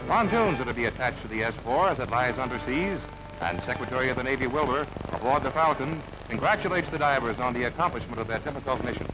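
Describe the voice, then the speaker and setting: A man giving brisk, emphatic scripted newsreel narration on a dull, lo-fi archival recording over music. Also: medium pitch, lively intonation, very noisy. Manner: brisk, emphatic announcer delivery.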